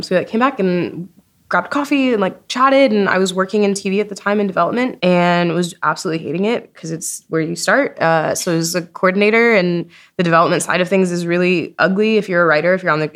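A woman in her twenties with a slightly low voice is being interviewed. She talks in a loose, informal storytelling way, peppered with "like" and quoting herself as she goes. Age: 20s. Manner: informal, animated self-quoting, frequent "like". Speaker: podcast creator being interviewed. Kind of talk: casual chatty interview storytelling. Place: recording studio at a festival.

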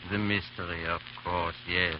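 Man acting a deep-voiced, mild old priest. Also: level tone, normal speed, natural voice. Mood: quietly curious. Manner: reserved, hesitant, understated. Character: mild, unhurried old male priest, quietly inquisitive. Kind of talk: 1930s radio drama acting. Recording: muffled, noisy 1930s radio recording.

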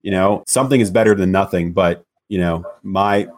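A man with a loud voice speaking as a podcast guest, relaxed and hesitant, full of "you know"s. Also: sits low, normal speed. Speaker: guest, loan officer. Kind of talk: casual interview chat.

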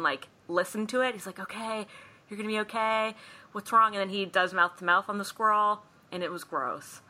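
A woman's voice: on the low side, bright, forceful tone. A woman co-hosting a podcast, retelling a film plot in a casual, wry way with mimicked asides. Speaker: podcast co-host.

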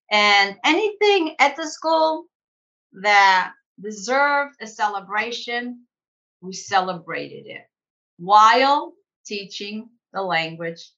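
A woman school director telling her story in an interview, animated and conversational, laughing easily. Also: medium pitch, muffled recording. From United States. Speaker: executive director of a language school.

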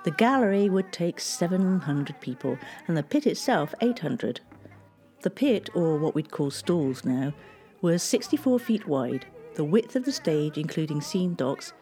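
A woman reads a local history script, taking dates and figures at a measured pace. Her voice sits low and sounds mellow. Faint music plays underneath. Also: very animated.

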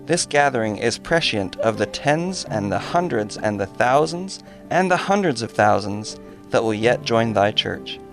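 A man co-hosting a podcast, reading scripted history in an even manner. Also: on the low side, vocal fry. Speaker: podcast co-host.

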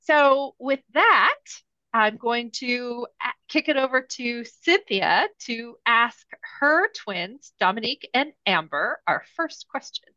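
Middle-aged woman co-host, high and bright, dull lo-fi sound. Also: lively intonation, takes it slowly, no echo. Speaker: podcast co-host. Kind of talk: casual chat. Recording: dull, dry, lo-fi.

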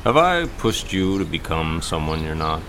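A gentle father in middle age, played by a male actor, with a very low voice that moves in lively swings. He is concerned and halting as he talks, in an audio drama with faint noise behind him. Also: full character voice. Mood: wry, tentative. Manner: hesitant, halting, thoughtful.

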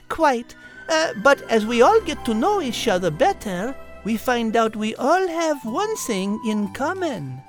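A man voicing a clever, gentle female cat with a French accent and a precise, learned manner, as in an audiobook. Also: very high voice, sing-song, takes it slowly, faint music behind, voice pushed up, exaggerated voice. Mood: bright, learned. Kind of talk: audiobook character acting.

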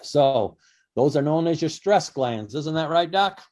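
A man's voice: a little high, lively intonation, measured pace, a little mellow. A man co-hosting a casual radio health talk show, rambling with lots of fillers and restarts. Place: radio studio.

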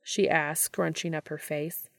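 Woman narrator, low-leaning pitch, vocal fry, dry close recording, expressive storytelling. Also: a little slow, no echo. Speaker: fan fiction narrator.

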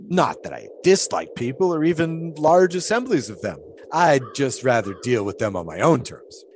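A man's voice: mid-range voice, slight background noise, natural voice. A man playing an eccentric, devout 1920s pole-sitter in an audio drama, musing in folksy, old-fashioned storytelling phrases.

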